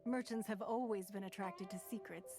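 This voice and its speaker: A woman's voice: mid-range voice, fairly even intonation, close to an everyday voice. Woman acting poised, shrewd noble stateswoman, music behind. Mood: coy, probing. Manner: measured, formal, courtly. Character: poised, shrewd aristocratic female stateswoman, gracious and calculating. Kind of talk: video game voice acting. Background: game music.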